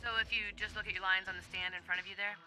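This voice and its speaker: A woman's voice: mid-range voice, flat delivery, rapid-fire, bright, forceful tone, faint music behind, rough recording. A woman playing a patient but harried voice session director in a video game, polite and coaxing. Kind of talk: video game character acting.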